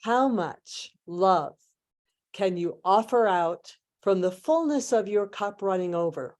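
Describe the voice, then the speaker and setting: A woman leading a guided meditation in measured, hushed phrases with long pauses, like a spiritual transmission. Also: medium pitch, lively intonation.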